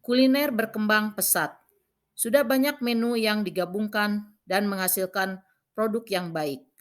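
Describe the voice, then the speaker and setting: A woman's voice: medium pitch, fairly even intonation. Woman teacher narrating a lesson. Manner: instructional, reading out points in short measured phrases. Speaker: vocational school cooking teacher.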